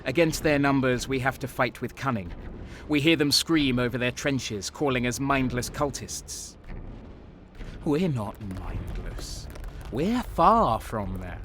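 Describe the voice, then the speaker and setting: A man's voice: medium pitch, animated, slow pace, fairly bright tone, slight background noise. An actor playing a grim, sadistic traitor guardsman who is addicted to slaughter, narrating an audio drama with menacing intensity. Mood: grim, scheming.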